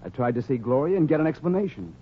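Jilted husband played by a man, pleading and earnest, very expressive, faint hiss of old radio. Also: mid-range voice, very fast, natural voice. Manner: urgent, pleading. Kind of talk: 1940s radio comedy acting. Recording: muffled, hissy 1940s radio recording.

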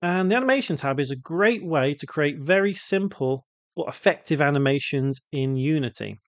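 A man presenting an unscripted software tutorial, explaining step by step and posing questions that he answers himself. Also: fairly high pitch, animated, normal speed, dry recording.

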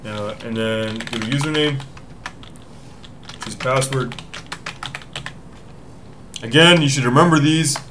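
A man's voice: mid-range voice, slow pace, long pauses, noisy background. A young man hosting a casual tech tutorial from a home room, thinking aloud, often correcting himself as he explains the steps. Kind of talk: casual screen-recorded walkthrough.

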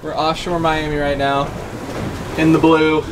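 Man vlogging casually over clear background noise. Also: a little high, level tone, measured pace. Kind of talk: casual vlog chat. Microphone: handheld camera at arm's length. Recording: noisy vlog audio, ambient outdoor noise.

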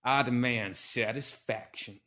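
A man's voice: medium pitch, unhurried, sharp tone. A man voicing a pompous, touchy gunslinger who sneers and speaks haughtily. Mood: sneering.

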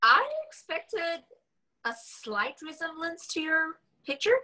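A woman's voice: very high voice, sing-song, slow pace, bright, forceful tone, exaggerated voice, poor audio. A woman playing a wry, wary young online dater in a film script read-through on a video call. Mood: skeptical, wry. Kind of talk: actor reading a script at a table read.

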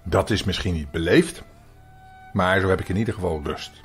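A man's voice: low-pitched, normal speed, soft background music. A man voicing a dignified, easily affronted female cat in a children's story, articulating very precisely and primly. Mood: weary disdain. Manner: prim, extra clearly articulated.

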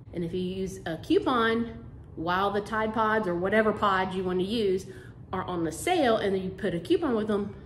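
A woman's voice: mid-range voice, roomy sound, slight background noise. A woman, chatting casually to camera about cleaning in her living room. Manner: chatty, homey, fillers like "like" and "um". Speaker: cleaning vlogger. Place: carpeted-free living room of a house, indoors.

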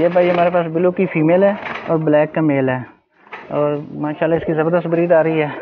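A middle-aged man with a Pakistani accent talks informally in a flat, fairly high voice, repeating stock phrases. It sounds thin and phone-like. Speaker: pigeon farmer. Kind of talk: informal showing of livestock. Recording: phone-like thin audio, faint music. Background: faint music, cooing pigeons.

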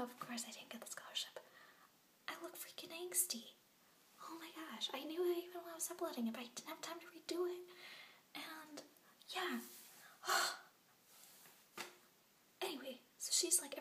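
A young woman playing an excitable orphan girl who secretly records a video diary at night, talking in a hushed whisper and rambling in bubbly bursts. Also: sits high, echoey room. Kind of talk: scripted web series vlog monologue.

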